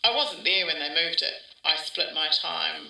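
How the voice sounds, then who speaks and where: Bright-voiced wistful older woman, echoey. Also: low voice, moderate pace, gravelly, exaggerated voice. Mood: reminiscing. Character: wistful older Australian woman reminiscing about her small-town childhood. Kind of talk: interview-style podcast drama, conversational reminiscing. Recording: roomy echo, distant from mic.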